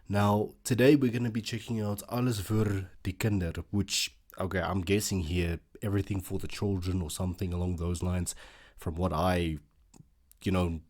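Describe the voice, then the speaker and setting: A man's voice: low-pitched, flat delivery, moderate pace, darkish tone. A man, an Afrikaans speaker, hosting a casual reaction video and thinking aloud with lots of fillers.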